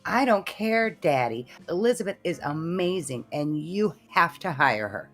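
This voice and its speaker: A woman's voice: fairly low pitch, lively intonation, a little slow, a bit bright, soft background music. A woman playing a defiant, spoiled rich daughter in a comedy radio drama, dramatic and petulant.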